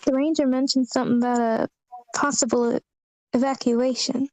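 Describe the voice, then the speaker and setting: A woman's voice: sits high, flat delivery, brisk, noisy background, close to an everyday voice. A woman playing a guarded grown daughter at a screenplay table read, answering in understated, brief replies. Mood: guarded, casual. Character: guarded grown daughter and therapy patient, Josie.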